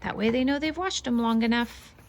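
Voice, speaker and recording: Woman, fairly high voice with creaky edges, very lively pitch, children's read-aloud, sing-song, expressive. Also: brisk, a little hiss or hum. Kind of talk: animated children's picture book read-aloud with casual asides.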